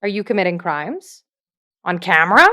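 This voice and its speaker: A woman's voice: lowish voice, expressive, normal speed, sharp tone. A woman presenting a scripted tutorial to camera as a dungeon master, emphatic and playful, with dry asides. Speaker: video host, dungeon master.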